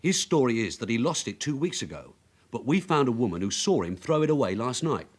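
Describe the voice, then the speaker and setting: Shrewd veteran detective sergeant, animated. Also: male voice, mid-range voice, fairly quick. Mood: brisk, confident. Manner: probing, knowing, colloquial. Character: shrewd veteran London police detective sergeant, persistent, coaxing. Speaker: actor in a radio crime drama. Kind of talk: naturalistic radio drama acting.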